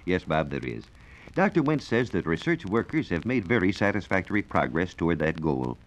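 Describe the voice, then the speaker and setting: An American man working as a science reporter gives a formal, measured, scripted explanation in the style of 1940s educational radio. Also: low voice, big pitch swings. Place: radio studio. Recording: dull, lo-fi 1940s radio recording.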